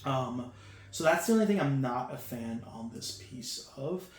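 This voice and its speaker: A man's voice: mid-range voice, animated, measured pace. A man giving casual, enthusiastic unboxing commentary, gushing over each piece with lots of fillers. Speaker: toy reviewer. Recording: echoey room with faint hum.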